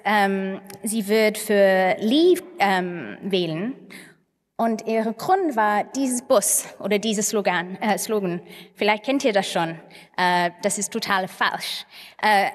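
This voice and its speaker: A woman from England speaking non-native German with an English accent, haltingly searching for words as she gives an anecdotal conference talk. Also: medium pitch. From England (Manchester). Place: large conference hall on stage, indoors. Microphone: podium microphones. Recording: echoey hall with long reverb.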